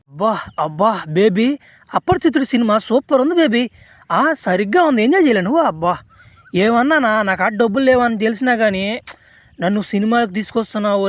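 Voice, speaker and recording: Cartoon comedy rogue played by a man: young, brash, mocking, extremely high voice, rapid slangy delivery. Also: no echo. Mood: excited, smitten. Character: cocky, teasing young village rogue, male. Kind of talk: amateur comedy cartoon voice acting.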